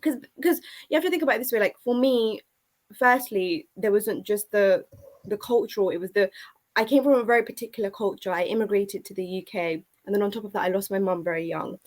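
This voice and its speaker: A woman's voice: medium pitch, brisk. A woman with a London accent, a podcast guest talking candidly and conversationally about personal experience, often saying like and you know. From UK, born in India to an Afghan family, moved at three. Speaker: podcast guest, author and speaker. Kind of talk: candid personal storytelling in an interview.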